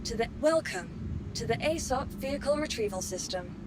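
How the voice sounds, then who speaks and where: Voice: mid-range voice, lively intonation, normal speed, noisy background, lightly acted. A performer voicing a polite automated vehicle retrieval announcer in a video game, with scripted delivery.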